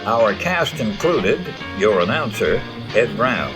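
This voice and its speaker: A man's voice: on the low side, very animated, takes it slowly. A man serving as announcer, reading the closing of a radio comedy show. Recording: music and audience noise behind. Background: guitar music, crowd.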